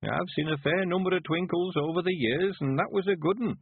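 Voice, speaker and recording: A high, husky old countryman with a broad West Country accent. He is sprightly and cheerful in his nineties, drawing out folksy dialect with great emphasis. It is an audiobook character heard through a narrow, radio-like band. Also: a little fast, no echo, heavily acted. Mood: spirited. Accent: rural English West Country. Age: 90s. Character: sprightly, cheerful 91-year-old rustic man.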